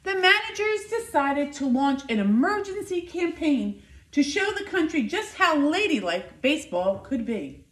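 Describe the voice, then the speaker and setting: A woman in her fifties reading a children's picture book aloud indoors, with expressive, deliberate, emphatic delivery. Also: high-pitched. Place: living room by a fireplace, indoors. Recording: roomy, echoing indoor sound.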